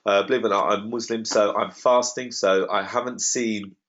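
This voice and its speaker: A man hosting an online property webinar, slightly croaky, talking in a confident and emphatic sales manner. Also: on the low side, fairly even intonation, moderate pace, fairly bright tone. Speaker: webinar host. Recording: dull lo-fi web call audio.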